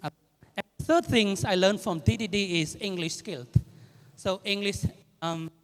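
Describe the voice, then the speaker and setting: A man in his thirties from Cambodia with a Khmer accent, giving a halting speech with fillers and self-corrections at an anniversary event. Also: sits high, fairly bright tone. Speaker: guest speaker. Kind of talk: public speech. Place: large indoor event hall. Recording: reverberant event hall.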